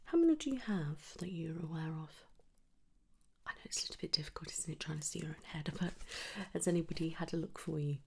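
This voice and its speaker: Female ASMR clinician, soft whisper with husky fry, gentle and reassuring bedside delivery. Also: low voice, fast. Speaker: ASMR performer playing a trichologist at a hair clinic. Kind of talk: whispered ASMR medical roleplay.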